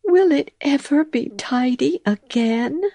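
A woman's voice: high-pitched, expressive, slow pace, mellow, dry recording, pitched above the performer's own voice, full character voice. A woman voicing a fussy, tidy little female mouse who talks in a prim, flustered way. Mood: prim, fretful.

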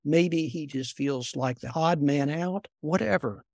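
An older man, a former intelligence officer, telling stories in a soft, unhurried voice as an interview guest. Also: on the high side, no room sound, clean studio sound. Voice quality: soft-spoken. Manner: unhurried, repeats words when restarting. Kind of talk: conversational storytelling.

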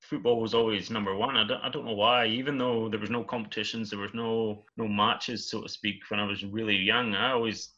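A Scottish man from Scotland, a guest chatting in a relaxed, rambling, easygoing way over a dull remote call. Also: on the low side, a little fast, few pauses. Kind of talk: casual interview chat.

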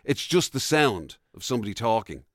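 A man's voice: medium pitch, sing-song, fairly quick, no room sound, clean studio sound. An Irish man co-hosting a radio show, chatting casually and colloquially and reading out listener messages. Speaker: radio breakfast show co-host. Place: radio studio, dry close sound.

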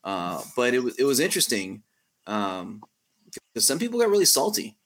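A man hosting a podcast interview, steering the topics with frequent "uh" pauses. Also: mid-range voice, very animated. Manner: steering the talk, many "uh" pauses. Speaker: podcast host.

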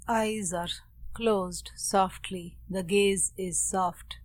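A woman meditation teacher giving calm, measured guided instruction with pauses and Sanskrit mantra. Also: medium pitch.

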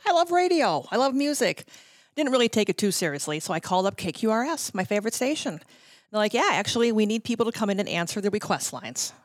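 A woman's voice: mid-range voice, no echo, studio quality. A middle-aged American woman telling anecdotes casually as an interview guest, chattily acting out bits of dialogue as she goes. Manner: chatty, self-quoting, acts out dialogue. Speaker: interview guest, former radio promotions worker.

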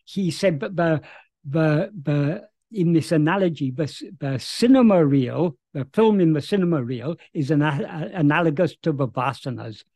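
A man's voice: on the high side, expressive, a little mellow, no echo. A man answering questions as a spiritual teacher over a video call, explaining carefully with frequent restarts and repeated words. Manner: halting restarts, repeats words while searching. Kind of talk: thoughtful explanatory talk.

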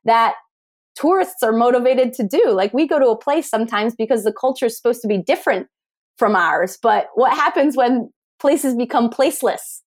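A woman's voice: on the high side, animated, moderate pace. An American woman, a tourism academic, talking through ideas in an interview, often posing rhetorical questions and restarting phrases. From United States. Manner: thoughtful, asks rhetorical questions, frequent restarts. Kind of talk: conversational interview.

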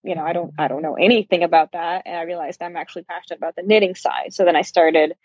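A woman's voice: on the low side, steady tone, quick pace, darkish tone, no echo, lo-fi sound. A middle-aged woman from Brazil being interviewed, telling personal stories in a candid, self-deprecating, conversational way. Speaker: interview guest, yarn shop owner.

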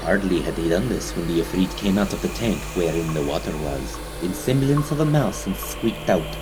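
A man's voice: deep voice, animated, normal speed, heavy background noise. A man narrating a scripted dramatic tale in a formal, archaic, theatrical storytelling manner.

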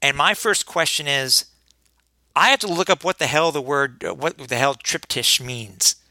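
A man with a bright, forceful voice co-hosts a sports chat show. He rambles chattily through news with frequent fillers, and the recording is dry and close. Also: medium pitch, normal speed, no echo. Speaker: podcast co-host.

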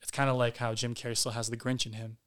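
A man's voice: mid-range voice, level tone, quick pace, fairly bright tone. A man, an actor and podcast guest, talking casually with many fillers and restarts.

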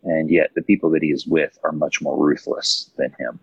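A man with a deep, slightly rough voice hosts a casual discussion. He thinks aloud and rewords his points conversationally. The recording is dull and lo-fi. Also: steady tone, moderate pace. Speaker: podcast host. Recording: roomy echo, dull lo-fi sound.

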